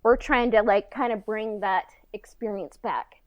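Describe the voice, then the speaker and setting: A woman co-hosting a podcast in casual, halting chat peppered with filler words like "like" and "kinda". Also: medium pitch, steady tone, muffled recording. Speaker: podcast co-host and audio drama writer.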